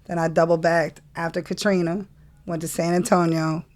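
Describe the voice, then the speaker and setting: A woman's voice: fairly low pitch, normal speed. A woman, a guest on a casual podcast, telling her story at an easy pace. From New Orleans, USA. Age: 40s. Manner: relaxed storytelling with laughs. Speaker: guest, music manager.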